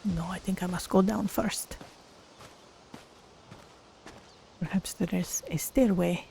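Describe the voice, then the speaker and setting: A calm cat-folk adventurer played by a woman muses her way through a fantasy game. Her voice is mellow and relaxed with a creaky edge. She is thoughtful and curious, over faint background noise. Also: on the low side, moderate pace. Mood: curious, measured. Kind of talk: in-character game stream narration.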